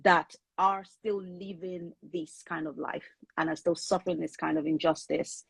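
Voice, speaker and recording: Yoruba-speaking woman author, low husky voice, earnest, dry close sound. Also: steady tone, moderate pace, dry recording. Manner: thoughtful, earnest, with restarts. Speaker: author and guest. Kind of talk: conversational interview.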